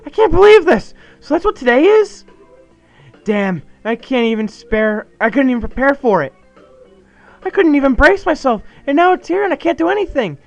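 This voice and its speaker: A man's voice: very animated, moderate pace, no echo, highs cut off, soft background music, full character voice. A man voicing a paranoid, panicky young male shut-in who rants frantically. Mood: frantic. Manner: frantic, shrill ranting.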